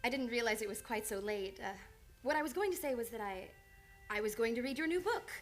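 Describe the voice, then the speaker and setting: A woman's voice: on the high side, expressive, fairly quick, soft background music, lightly acted. An actress playing an earnest, reserved woman psychiatrist in an old-time radio drama, speaking formally and precisely. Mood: nervous, confessing. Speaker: radio play actor. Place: radio studio.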